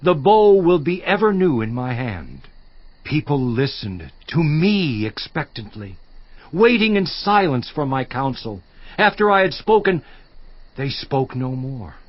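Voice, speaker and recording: An actor playing a suffering, once-honored biblical patriarch in a dramatized scripture monologue, theatrical and declamatory with long pauses. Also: male voice, medium pitch, big pitch swings, no room sound, dull audio. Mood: proud, wistful remembrance. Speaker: actor in a dramatized audio Bible.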